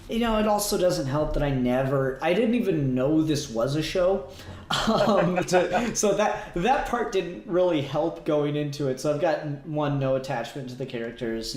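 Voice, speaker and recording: A man speaks at an ordinary male pitch in a noticeably echoey room. He sounds like a co-host on a casual review podcast. His phrasing is hesitant and halting as he works out what he thinks. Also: a little mellow. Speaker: podcast co-host reviewing a fan audio drama.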